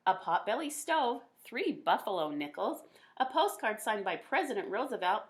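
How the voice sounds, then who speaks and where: A woman reading a children's picture book aloud in an expressive, folksy storytelling manner. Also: medium pitch, normal speed.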